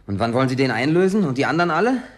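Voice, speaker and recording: A man's voice: mid-range voice, big pitch swings, rapid-fire, close to an everyday voice. Dry, grumbling man near forty acted for radio. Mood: grumbling, sarcastic. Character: grumbling, reluctant ex-navy man of thirty-nine. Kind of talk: German radio play dialogue acting.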